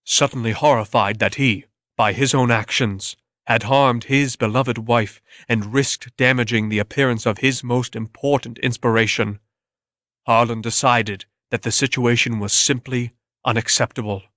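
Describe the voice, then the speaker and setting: A man's voice: medium pitch, fairly even intonation, normal speed, fairly bright tone, no room sound, clean studio sound. A man giving a dramatic scripted reading of a story as its narrator.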